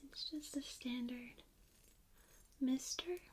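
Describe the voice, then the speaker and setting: A woman speaking softly and whispering in a hushed, gentle ASMR style, giving step-by-step demonstrations into a close microphone. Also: sits high, takes it slowly. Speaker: ASMR host demonstrating plant care in a role play. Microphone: close binaural microphone. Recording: faint background noise, binaural close-miked.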